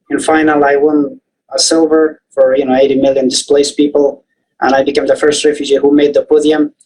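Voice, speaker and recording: A young man from Afghanistan answering interview questions at length, halting and full of fillers and restarts. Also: fairly high pitch, darkish tone, slight background noise, low-quality recording. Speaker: guest, refugee swimmer.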